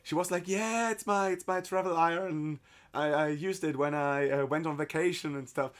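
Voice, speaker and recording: A hoarse man casually commenting while playing a game on stream, rambling loosely and swearing now and then. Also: fairly high pitch, moderate pace. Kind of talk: casual let's-play commentary.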